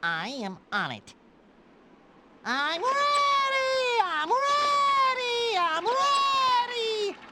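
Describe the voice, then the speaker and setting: An actor playing an eager, naive, childlike sea sponge in a cartoon game, with a squeaky, nasal voice. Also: male voice, wildly swooping pitch, slow, exaggerated voice. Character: excitable, naive young male sea sponge. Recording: loud noise behind the voice.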